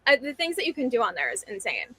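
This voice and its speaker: A woman's voice: on the high side, wildly swooping pitch, fast, bright, forceful tone, husky, no echo. A woman in her twenties from New Jersey, chatting and laughing easily on a speed date. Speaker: dating show contestant. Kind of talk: casual speed-date chat.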